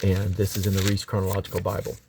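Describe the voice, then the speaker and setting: A man's voice: sits low, monotone, fast, gravelly, darkish tone, a little hiss or hum. A man reading scripture aloud in a solemn, emphatic, declamatory way, stressing his phrases. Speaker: Bible reader.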